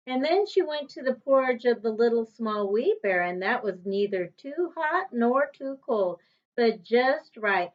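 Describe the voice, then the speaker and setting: A woman reads a children's storybook aloud at a slow pace. Her voice is fairly high and swings with lively intonation, stressing each contrast deliberately like a teacher. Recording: dull, lo-fi recording.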